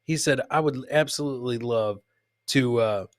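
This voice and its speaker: A man's voice: medium pitch, moderate pace, no room sound, clean studio sound. A man hosting a casual comedy show, commenting on clips and often repeating phrases for emphasis. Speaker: podcast host.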